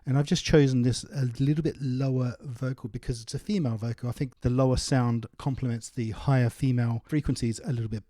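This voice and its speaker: A man with a soft, mellow voice walks through a tutorial in an informal, explanatory way. The recording is close and dry, with almost no room sound. He talks like a host showing viewers a software process step by step. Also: medium pitch, dry recording. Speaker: music production tutorial host. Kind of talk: casual software tutorial narration.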